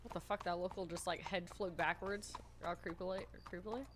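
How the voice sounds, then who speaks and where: A woman streamer chatting loudly and nervously to her viewers, thinking out loud and swearing freely. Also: lowish voice, steady tone, a little fast, noisy background. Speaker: streamer playing a roleplay character. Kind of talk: casual chat to stream viewers.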